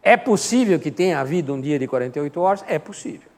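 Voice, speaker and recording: An older man with a Brazilian accent speaks as a Sunday school teacher. His voice sits a little high for a man. He explains in an informal, didactic way, asking questions and answering them, and long reverb from the hall surrounds his words. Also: lively intonation, fast, darkish tone. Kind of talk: informal Bible class lecture.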